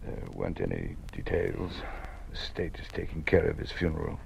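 Distressed, kindly old man, a dean; slow, very animated emotional delivery, vintage radio play with clear noise. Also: a bass voice, exaggerated voice. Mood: shaken, sorrowful. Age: older. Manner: halting, emotional. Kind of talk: old-time radio drama acting. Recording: noisy, scratchy old radio recording.

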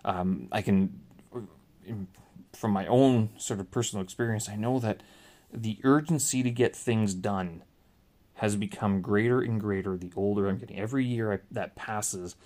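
A man's voice: on the low side, a little mellow. A middle-aged man, hosting a podcast in a rambling solo monologue full of fillers and restarts. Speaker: podcast host.

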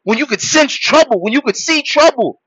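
A man born in Haiti preaches to his listeners in a high, bright, forceful voice, shouting some points and dropping to a low murmur for others. The recording is dull and dry, with no room sound. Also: expressive, moderate pace, slightly rough, no room sound. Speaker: podcast host. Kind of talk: motivational monologue.

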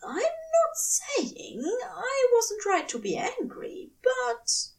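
A woman's voice: very high-pitched, wildly swooping pitch, drawn-out words, gravelly, distant mic, voice pushed up, full character voice. A woman voicing a tender, prim male angel lover in an audio fan fiction, earnest and coyly hesitant in phrasing. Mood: coy, playful.

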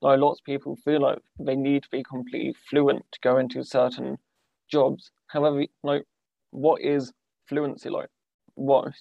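A man's voice: medium pitch, flat delivery, moderate pace, creaky, no room sound. A young man hosting a podcast, talking hesitantly with many "like"s and false starts. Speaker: podcast host. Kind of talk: conversational interviewing. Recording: dull lo-fi dry sound.

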